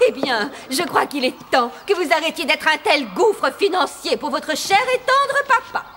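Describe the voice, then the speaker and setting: A wicked sorceress queen from a fairy-tale cartoon. Her high voice swoops dramatically between false sweetness and haughty scorn. It has a piercing edge, with faint noise behind it. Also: lively intonation, moderate pace, bright, forceful tone. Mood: gloating, cruel. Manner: mock-sweet, theatrical, sneering. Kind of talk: animated fairy-tale dubbing.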